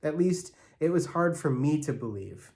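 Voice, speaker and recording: Animated man reading a storybook. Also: on the high side, moderate pace. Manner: expressive, acts out characters' lines. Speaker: church staff storyteller.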